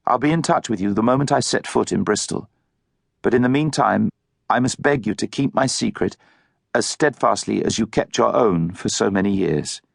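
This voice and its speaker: A man's voice: on the low side, moderate pace, gravelly, slightly rough, no room sound, studio quality, exaggerated voice. A man voicing an earnest, polite young Englishman, speaking formally and articulately in an audiobook novel. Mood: heartfelt, solemn.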